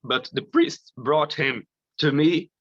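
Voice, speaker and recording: A man from Brazil, giving a halting, earnest personal faith testimony at a conference. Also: fairly high pitch, a bit bright, no echo, lo-fi sound. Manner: slow, halting, earnest, with frequent "you know".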